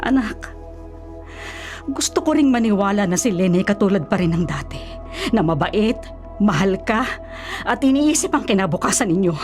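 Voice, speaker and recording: A woman's voice: mid-range voice, expressive, moderate pace, pauses often, darkish tone, soft background music, close to an everyday voice. An actress playing an older, worried and caring Filipino mother in a radio drama, fussing and nagging at her grown son. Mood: upset, pleading.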